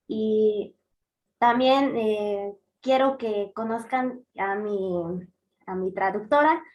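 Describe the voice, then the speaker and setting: Young female youth representative, Tzeltal native speaker, hesitant delivery with pauses, higher register. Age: young adult. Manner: hesitant, many pauses and fillers. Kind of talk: speaking at a conference session.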